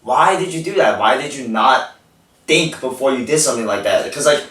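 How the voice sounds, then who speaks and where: A teenage male podcast host, a native Korean speaker, telling stories in a casual, animated way with lots of "like" fillers. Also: mid-range voice, a little fast. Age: teens. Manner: animated storytelling, frequent "like" fillers. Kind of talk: casual chat. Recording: echoey room, distant mic.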